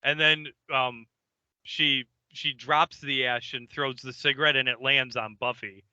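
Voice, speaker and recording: A man's voice: medium pitch, steady tone, a little slow, bright, forceful tone, clear, resonant tone, dry recording, highs cut off. A man co-hosting a podcast, retelling an episode in a loose, joking way with lots of fillers. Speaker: podcast co-host. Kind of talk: casual episode recap chat.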